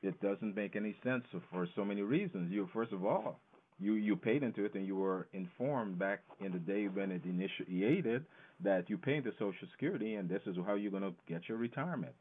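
Low-voiced man on a tinny phone line. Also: fairly quick, slightly rough. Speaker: financial educator, interview guest. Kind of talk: explanatory radio interview talk.

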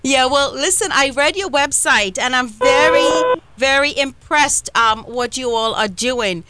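A Trinidadian woman hosting a live radio talk show, chatty with quick asides. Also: high-pitched, heavy background noise. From Trinidad and Tobago.

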